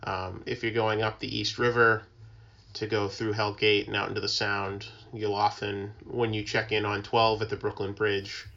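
A man explaining things as an interview guest in an informal, halting, conversational way. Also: lowish voice, flat delivery, creaky, sharp tone, lo-fi sound. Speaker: interview guest, working tugboat mariner.

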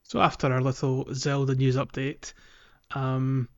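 Male podcast host, casual and rambling game chat, mid-pitched voice, slightly muffled lo-fi recording. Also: level tone, measured pace, a little mellow. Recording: dull, lo-fi audio.

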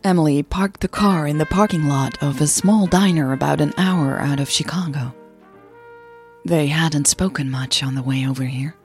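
A woman narrating a scripted audio drama in a soft, breathy delivery. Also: sits low, no echo.

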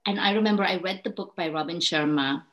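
A woman's voice: fairly low pitch, fast. A woman in her forties, a guest on a podcast, talking casually and animatedly with frequent laughs and ums. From Hong Kong. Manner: lively, laughs often, many ums. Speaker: guest, parenting coach. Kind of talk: casual interview chat.

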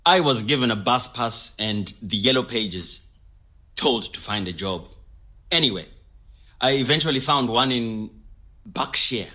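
A sentimental, devoted immigrant man recalls his life for an audio drama. His voice is bright, and its pitch moves freely as he chats. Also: expressive, unhurried, long pauses. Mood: matter-of-fact. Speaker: actor in a scripted audio story. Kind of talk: naturalistic conversational storytelling.

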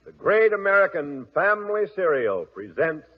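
A man's voice: fairly high pitch, sing-song, normal speed. A man hosting and narrating a children's radio show in an avuncular, theatrical 1940s announcing and storytelling style. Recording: dull old radio recording.

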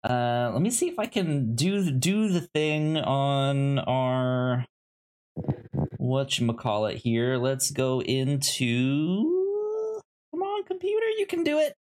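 A casual podcast co-host who steers the show at a slow pace. The pitch moves around a lot, and the delivery is playful and halting, like someone thinking aloud while chatting. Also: big pitch swings, a little mellow. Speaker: podcast co-host running the segments. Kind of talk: casual chat.

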